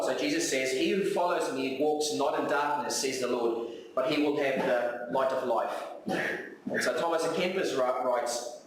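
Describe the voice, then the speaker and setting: Echoey South African man preaching, slightly high-voiced. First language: Afrikaans. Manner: earnest, rhetorical repetition and questions. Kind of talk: sermon with reflective readings. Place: church hall, live service. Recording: very echoey room, distant mic, faint noise.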